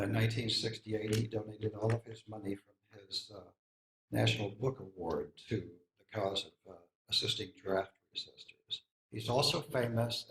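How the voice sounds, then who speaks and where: A man's voice: lowish voice, fairly bright tone. A man taking part in a service as a poetry reader, speaking to those gathered in an informal, literary way. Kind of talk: informal introduction and poem reading. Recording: echoey room.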